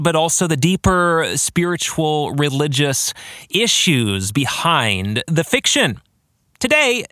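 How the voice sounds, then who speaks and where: A man hosting a podcast solo, speaking in an enthusiastic, deliberate, emphatic way with casual asides. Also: a little high, bright, forceful tone, no room sound, studio quality. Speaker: podcast host and novelist. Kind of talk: scripted-sounding podcast monologue with casual asides.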